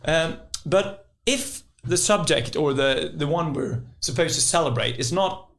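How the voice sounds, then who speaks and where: A Norwegian-accented man with a gravelly, fry-heavy voice talks in a hesitant conversational way. He fills his pauses with "uh" and hedges with "sort of" as he works through his thoughts. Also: a little high, expressive, a bit bright. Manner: hesitant, with frequent fillers and "sort of". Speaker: podcast co-host. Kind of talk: conversational podcast discussion.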